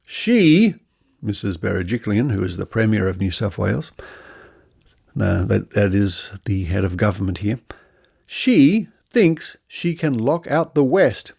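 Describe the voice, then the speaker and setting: A man's voice: lowish voice, big pitch swings, unhurried, creaky, a little mellow, husky. A man hosting a podcast, commenting on news and reading quotes with conversational asides. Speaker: podcast host.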